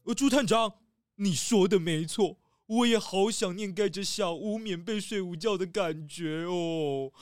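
A man voicing a big friendly grizzly bear who speaks slowly and gushingly in a children's audio drama. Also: sits high, dry recording, clean studio sound, voice pushed up. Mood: dreamy.

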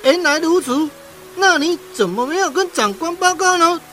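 A woman playing a calm, fair-minded tree frog captain in a children's radio drama. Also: very high voice, normal speed, faint music behind. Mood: reassuring.

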